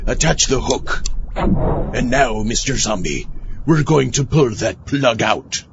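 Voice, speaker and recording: A man voicing a conniving, blustering pirate captain in a comic audio drama, with hesitant, drawn-out pirate talk. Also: mid-range voice, lively intonation, gravelly, lo-fi sound, heavy background noise. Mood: blustering, scheming.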